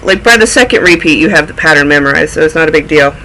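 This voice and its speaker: A man's voice: fairly high pitch, animated, fast talker, pushed and bright, slight background noise, low-quality recording. A man with a cold, sounding snuffly, hosting a casual knitting video podcast and chatting his way through projects with plenty of tangents. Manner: rambling, goes off on tangents. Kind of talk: casual chatty show-and-tell.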